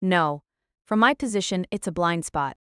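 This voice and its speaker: A woman's voice: fairly low pitch, expressive, normal speed, a bit bright, smooth, no room sound, studio quality. A woman playing a sniper's partner, giving terse, businesslike reports. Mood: alert.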